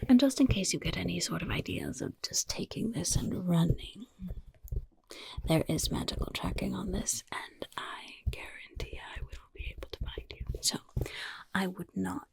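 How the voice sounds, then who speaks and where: A woman voicing a friendly, sly female goblin quartermaster in an ASMR roleplay, in a soft breathy whisper, coaxing and unhurried. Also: fairly low pitch, lively intonation, full character voice, poor audio. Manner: coaxing, unhurried, repeats words for emphasis.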